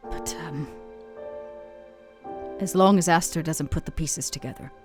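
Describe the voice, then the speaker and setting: A woman's voice: deep voice, measured pace, over music. A woman playing a weary, proud club owner in a tabletop roleplay, speaking in a theatrical, hesitant way. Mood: somber.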